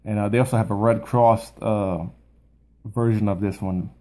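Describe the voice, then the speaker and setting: A man's voice: fairly low pitch, steady tone, normal speed, dark tone. A man in his thirties giving an informal, plain-spoken product review to camera, as a video host at home. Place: small furnished room at home, indoors.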